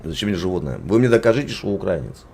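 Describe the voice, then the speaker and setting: A man's voice: low-pitched, very animated, rapid-fire, vocal fry, darkish tone, slight background noise. A man hosting, arguing hotly and scornfully with a stranger, swearing and repeating his demands. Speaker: channel host arguing with a stranger. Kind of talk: heated argument, swearing.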